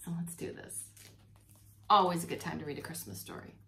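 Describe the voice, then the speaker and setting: A woman preschool teacher reading a storybook aloud to young children, expressively, pausing to explain and repeat words simply. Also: lowish voice, creaky, bright, forceful tone, a little hiss or hum.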